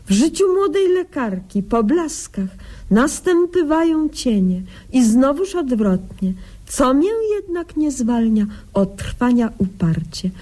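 A woman's voice: high voice, big pitch swings, slow pace, warm tone, heavily acted. A woman playing an earnest young doctor in a comic radio sketch, speaking in solemn, stilted, pompous phrases. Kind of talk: comic radio sketch acting, mock-literary narration.

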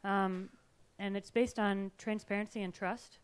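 Smooth-voiced woman on a panel. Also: mid-range voice, fairly even intonation. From California. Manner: fluent, measured, some fillers. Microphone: handheld mic.

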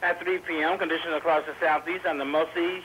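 A man's voice: a little high, steady tone, fast talker, a bit bright, rough recording. A man reading scripted weather bulletins as a radio announcer, recorded from 1990s weather radio onto VHS. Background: faint radio noise.

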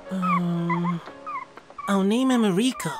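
A woman's voice: mid-range voice, slow pace, close to an everyday voice. A woman voicing a stubborn, wounded little boy in an amateur anime audio drama, insistent and emotional. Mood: earnest. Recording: music behind the voice.

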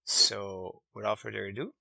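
A man's voice: fairly low pitch, fairly even intonation, moderate pace, gravelly, pushed and bright, no room sound. A man hosting a game development tutorial series, narrating casually in a voice-over. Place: close, dry voice-over over screen capture.

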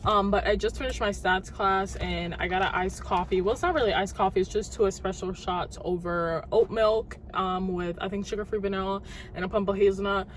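A woman's voice: mid-range voice, steady tone, fairly quick, slight background noise. A young woman, a college student, chatting casually to her camera with lots of "like" and "um" fillers. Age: young adult. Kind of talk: casual vlog chat to camera.

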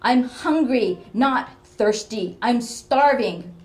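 A woman voicing a cruel, bedridden old mother who snaps and blames her daughter in a shrill, scolding voice. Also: measured pace, a little mellow, close to an everyday voice. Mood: bitter.

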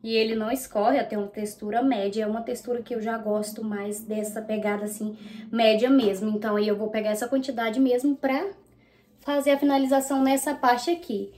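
A woman's voice: on the high side, flat delivery, fairly quick, darkish tone. A woman in her thirties from Brazil, chatting casually to viewers while she styles her hair and reviews a product in a small bedroom. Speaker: beauty vlogger.

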